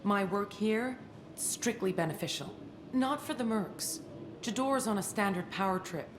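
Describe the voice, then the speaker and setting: A woman's voice: medium pitch, measured pace, over music, natural voice. A woman playing a wary, self-justifying alien lab researcher in a video game, conversational and wry. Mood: relieved, defensive. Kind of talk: video game character acting. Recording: noisy background ambience.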